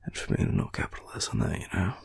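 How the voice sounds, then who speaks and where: A tired young Australian man murmuring an affectionate, rambling boyfriend roleplay in a deep, gravelly, breathy voice. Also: sing-song, rapid-fire, darkish tone, whispered. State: tired, sleepy. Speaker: boyfriend roleplay audio performer. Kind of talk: intimate sleepy roleplay, ASMR-like rambling.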